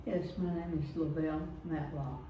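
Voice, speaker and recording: Older woman, flat steady delivery, slow, dull muffled echoey recording. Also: sits low. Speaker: interviewee. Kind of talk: casual self-introduction. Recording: echoey, dull, noisy room.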